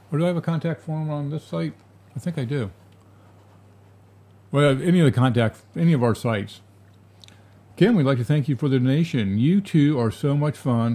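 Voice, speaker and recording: A man co-hosting a live painting stream, running the timer and giveaway in a relaxed, joking way. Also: medium pitch. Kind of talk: casual live-stream chat.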